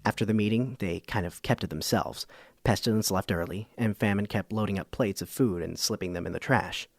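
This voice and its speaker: A man playing a curious, agreeable radio documentary host, measured and inquisitive. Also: fairly low pitch, gravelly, no echo, studio quality. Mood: wry narration. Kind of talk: public radio documentary narration, parody.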